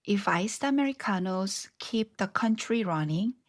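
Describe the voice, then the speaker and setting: A middle-aged woman hosting her podcast, reading scripted narration in a reflective, unhurried way, her voice a little scratchy from illness. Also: mid-range voice, animated, dry recording, clean studio sound. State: slightly scratchy voice after strep throat. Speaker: podcast host.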